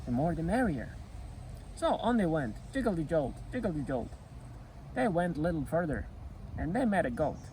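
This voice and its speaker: A man reading a folk tale for children as a storyteller, in a sing-song, deliberate and expressive way. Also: fairly high pitch, a little hiss or hum, poor audio. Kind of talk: scripted children's storytelling narration.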